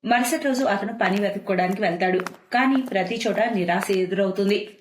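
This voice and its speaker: A woman's voice: mid-range voice, a little fast, reverberant, slight background noise. A woman narrating a scripted moral story as a storyteller.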